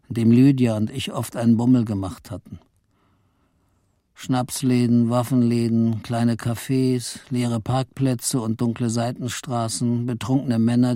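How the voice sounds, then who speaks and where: Male actor as weary clerk narrator for noir radio drama: dark, mid-pitched, ironic, dry studio sound. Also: no echo, lightly acted. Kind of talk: first-person radio play narration.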